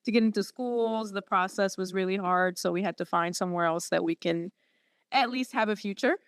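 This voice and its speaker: A woman in her late twenties, from Syria, speaks. Her voice is smooth and resonant, recorded close and dry. She tells her story earnestly, stopping often to restart her sentences. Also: mid-range voice, dry recording. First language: Arabic. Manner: earnest, halting with many fillers and restarts. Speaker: podiatry student guest. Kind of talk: personal story in interview.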